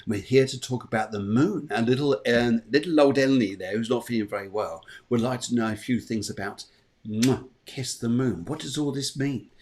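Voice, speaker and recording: A man's voice: medium pitch, moderate pace, darkish tone. A man hosting a livestream morning show in a chatty, jovial way, often repeating his phrases. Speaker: show host.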